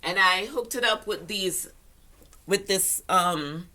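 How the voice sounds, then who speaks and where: A woman's voice: mid-range voice, slow pace, pushed and bright, recorded from a distance. An older woman with a Jamaican accent, chatting casually to camera with lots of fillers and a slightly stuffy, congested voice. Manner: chatty, rambling, with many fillers and laughs. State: congested sinuses. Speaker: fashion vlogger.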